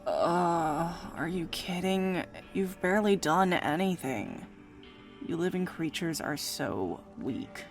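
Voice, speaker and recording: A flippant, bratty vampire deity, acted by a woman in a fantasy audio drama. The voice is creaky and sarcastic, full of impatient slang. Faint music sits behind it. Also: lowish voice, animated, slow. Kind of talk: visual-novel audio drama.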